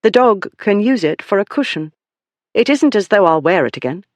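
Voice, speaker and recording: A man voicing a high, stubborn genteel lady. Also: expressive, normal speed, no room sound. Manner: insistent, crisp, defiant. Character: spirited, stubborn, sharp-tongued genteel lady.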